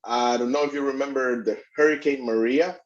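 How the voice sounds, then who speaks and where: Middle-aged man, halting interview guest, mid pitch, dull recording. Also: steady tone. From Puerto Rico. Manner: halting, many restarts and fillers. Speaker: immigration attorney and guest. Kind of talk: conversational interview. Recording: dull lo-fi sound.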